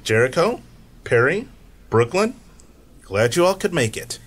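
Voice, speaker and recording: Nerdy super genius inventor, male actor for comedy radio drama: casual confidence, matter-of-fact, animated intonation. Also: on the high side, measured pace, faint music behind.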